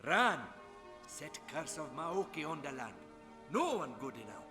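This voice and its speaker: A menacing cannibal chieftain from a game cutscene, speaking in slow, halting broken English. His words hang in a long reverb while music swells underneath. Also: fairly high pitch, sing-song.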